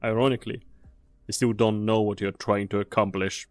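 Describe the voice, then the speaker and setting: A man playing a weary, fatalistic mercenary in an anime game fan dub, speaking gravely and deliberately. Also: on the low side, fairly even intonation, no echo, soft background music, natural voice. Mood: stern warning.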